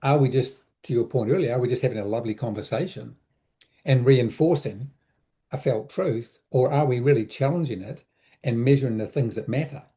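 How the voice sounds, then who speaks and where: A man answering interview questions as an advisor, reflective and halting, often repeating words as he thinks aloud. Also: mid-range voice, warm tone. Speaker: interview guest, business advisor. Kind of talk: conversational explanation in an interview.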